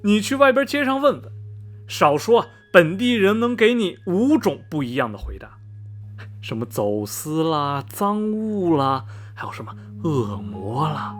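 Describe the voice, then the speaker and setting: A man's voice: on the high side, big pitch swings, measured pace. A man playing a chatty middle-aged railway station veteran in a radio drama, folksy and rambling, with many sighs and interjections. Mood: gossipy, knowing. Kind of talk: Mandarin radio drama dialogue, storytelling. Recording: music behind the voice. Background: background music.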